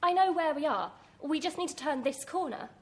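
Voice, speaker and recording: A woman's voice: high voice, lively intonation, brisk. An actor playing a cheery, naive, dithering young British woman, chirpy and hesitant, in a classic horror radio play. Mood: excited. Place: theatre venue in front of an audience. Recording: faintly noisy live stage recording.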